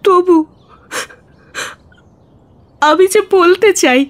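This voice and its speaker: A woman's voice: very high-pitched, drawn-out words, full character voice. A woman playing a sorrowful young newly married village girl in a radio drama, speaking in a plaintive, pleading way.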